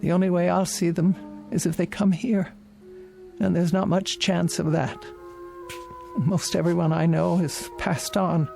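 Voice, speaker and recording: A woman's voice: fairly low pitch, fairly even intonation, a little slow, gravelly. An actress playing a frail, lonely elderly woman, housebound, speaking in a hesitant, quavering way in a radio drama. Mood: forlorn. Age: older. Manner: quavering, hesitant, soft-spoken. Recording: radio drama with music underneath.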